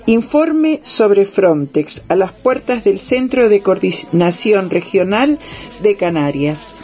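A woman's voice: mid-range voice, expressive, slow pace, dark tone. A woman radio host reading a prepared introduction and asking interview questions. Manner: reads a prepared script, then asks questions. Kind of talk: scripted news-style introduction.

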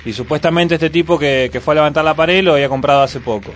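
A man's voice: fairly high pitch, fast. Argentine man over faint background noise. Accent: Buenos Aires Argentine. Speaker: radio show co-host. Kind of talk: casual commentary on local news.

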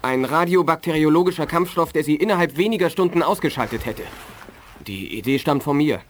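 A man acts an intelligence captain in an eighties space radio play. His slightly high voice is formal and earnest. A faint noise runs behind him. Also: lively intonation, fairly quick, lightly acted. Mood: matter-of-fact. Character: earnest male intelligence captain, secretly sympathetic defector. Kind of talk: 1980s German science-fiction radio play acting.

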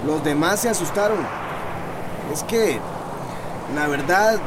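High-voiced volunteer over loud noise. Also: male voice, slow. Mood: wary, willing. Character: wary but willing male boat volunteer. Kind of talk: radio soap opera acting. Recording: very loud outdoor engine noise.

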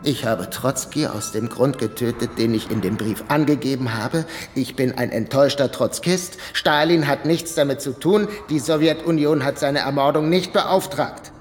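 An actor playing a defiant, guarded prisoner who stonewalls tersely in a German radio drama. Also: male voice, medium pitch, moderate pace, hardly any pauses, faint music behind, close to an everyday voice. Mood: stubborn, guarded. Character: defiant imprisoned assassin, stubbornly lying, guarded.

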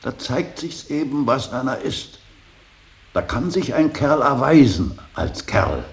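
An actor playing a stern, earnest older painter and professor in a radio play, preaching duty with emphatic, deliberate repetition. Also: male voice, big pitch swings, full character voice. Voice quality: gravelly, very deep. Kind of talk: German radio play acting. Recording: hissy radio drama recording.

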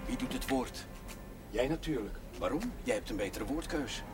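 A man's voice: medium pitch, lively intonation, moderate pace, loud music behind the voice, lightly acted. Guy doing a dry wry sidekick, plain middle voice. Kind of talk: 1970s TV drama acting.

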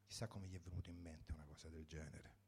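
A man's voice: low voice, little pitch movement, quick pace, mellow, husky. A man reading a first-person story for radio in a reflective, wry manner, in short sentences. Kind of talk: dramatic literary reading for radio.

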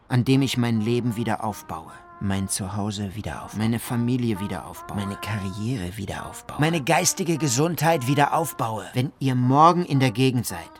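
A man's voice: medium pitch, lightly acted. An actor playing a weary but determined man in a radio drama, with an insistent, repetitive cadence. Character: determined, weary man rebuilding after disaster.

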